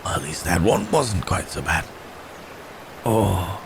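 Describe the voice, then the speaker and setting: Very deep, troubled wounded werewolf man, acted. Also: big pitch swings, gravelly, background noise, exaggerated voice. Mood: pained. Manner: halting, formal old-fashioned phrasing, sighing. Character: gentle, troubled wounded man cursed as a werebeast. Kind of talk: ASMR roleplay acting.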